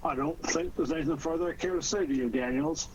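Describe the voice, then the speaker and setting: Man as a curt, haughty gentleman, dull old recording. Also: a little high, fast talker, natural voice. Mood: icy. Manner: clipped, formal. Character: curt, haughty, accusing male murder suspect. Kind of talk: 1940s radio detective drama acting. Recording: dull, slightly noisy 1940s radio recording.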